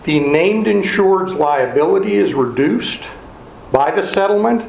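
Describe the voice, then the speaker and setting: A man's voice: high voice, animated, a little slow, vocal fry, darkish tone. A male attorney making an oral argument before an appeals court, pressing his points with rhetorical questions. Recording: roomy courtroom sound, faint noise.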